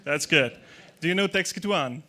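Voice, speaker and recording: A man's voice: fairly high pitch, animated, moderate pace, sharp tone. Chatty man giving a tech conference talk. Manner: joking, chatty, with hesitant restarts and non-native grammar. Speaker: conference speaker. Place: large dark conference hall with audience. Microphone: handheld mic.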